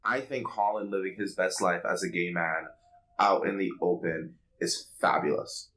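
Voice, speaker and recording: Low-pitched male voice, podcast co-host, blunt, sassy, slangy, casual pop culture chat. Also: moderate pace, a bit bright.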